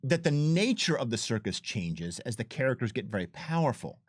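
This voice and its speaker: An enthusiastic man chats casually about his design work in a dry, close sound. His pitch swings widely around a medium register, and he leans hard on phrases, repeating them and darting into asides. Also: very animated, moderate pace, dry recording. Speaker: game designer and adventure writer. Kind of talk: casual developer commentary chat.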